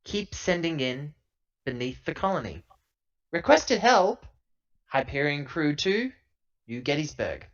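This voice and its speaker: A man's voice: a little high, very animated, unhurried, long pauses, fairly bright tone, full character voice. An earnest, defensive woman of a starship crew , played by a man at a game table, explains herself hesitantly in improvised sci-fi roleplay. The sound is dull and lo-fi. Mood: uneasy briefing. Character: earnest, defensive female crew member of a spaceship. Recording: dull, lo-fi laptop audio.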